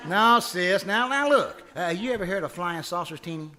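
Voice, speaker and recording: A man playing a boastful, folksy, excitable husband in old-time radio comedy, blustering and hesitating. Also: high voice, moderate pace, background noise. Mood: proud, friendly. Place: live before an audience at a winery.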